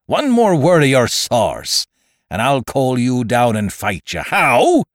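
A man voicing a cunning, domineering pirate sea-cook in an audiobook, with rough sailor dialect and a forceful, taunting manner. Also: fairly low pitch, very animated, slow pace, no echo, clean studio sound. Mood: angry, defiant. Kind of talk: audiobook character voice.

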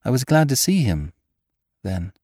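Man doing a troubled young woman, halting, voice climbing when scared, lively pitch, dry sound. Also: breathy edge, no echo. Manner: hesitant, trembling, rising to alarm. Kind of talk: audiobook character voice.

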